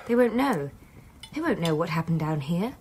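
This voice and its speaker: A woman's voice: lowish voice, big pitch swings, moderate pace, a little mellow, slight background noise. Wry, anxious English woman. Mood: uneasy. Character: anxious, wry female dinner guest after invasion. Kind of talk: radio drama acting.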